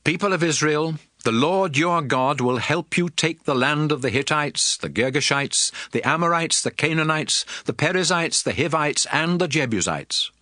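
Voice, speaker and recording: A man's voice: on the high side, animated, normal speed, few pauses, sharp tone, no room sound, studio quality. An actor playing a stern, fervent prophet who addresses his people in a solemn, emphatic, declamatory dramatized scripture reading. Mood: stern, commanding. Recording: music under the voice.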